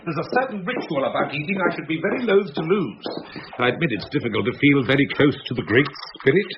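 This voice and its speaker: An actor playing a teasing, talkative husband in a radio drama, wordy and mock-grand with a playful menace. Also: male voice, on the high side, animated, fast talker, gravelly, crowd noise. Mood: expansive. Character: theatrical, teasing, talkative husband in his thirties or older. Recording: dull, lightly noisy old radio recording.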